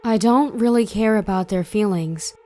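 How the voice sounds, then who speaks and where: A woman's voice: mid-range voice, normal speed, mellow, natural voice. Woman as stoic, resolute teenage girl. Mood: devoted, defiant. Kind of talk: anime-style fan dub acting.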